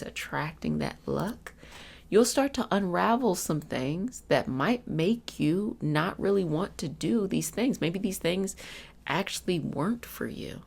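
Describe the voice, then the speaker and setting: A woman with a lowish voice and plenty of vocal fry talks like a self-help coach in an ASMR session. She sounds reassuring and conversational, soothing the listener as she explains things. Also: darkish tone. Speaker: ASMR host and energy healing practitioner.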